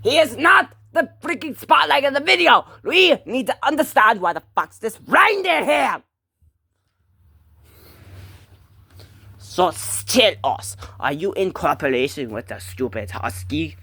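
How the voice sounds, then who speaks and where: A loud, bossy cartoon bully, put on by an amateur performer for a comic skit. The voice is high and bright with a creaky edge, swooping around as it scolds and yells. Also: sing-song, moderate pace, hardly any pauses, no echo, heavily acted. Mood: scornful. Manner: brash, scolding, given to yelling.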